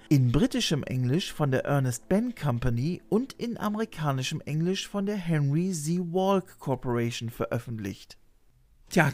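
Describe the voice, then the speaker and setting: A man's voice: fairly high pitch, darkish tone. Middle-aged man narrating over music. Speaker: host of a retro TV show channel. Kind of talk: scripted video essay narration. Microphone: desk microphone.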